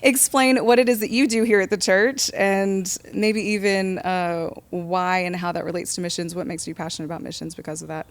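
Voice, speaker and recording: A woman's voice: on the low side, steady tone, a little fast, hardly any pauses, no room sound. A woman hosting a church podcast, asking guests questions in a friendly way with lots of "um"s and "mm-hmm"s. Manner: friendly interviewer, many fillers and affirmations. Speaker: podcast host.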